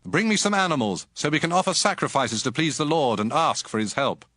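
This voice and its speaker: A man's voice: on the high side, big pitch swings, brisk, a bit bright, no room sound, studio quality. A man playing an anxious, self-justifying king, urgent and defensive, in a dramatized audio Bible.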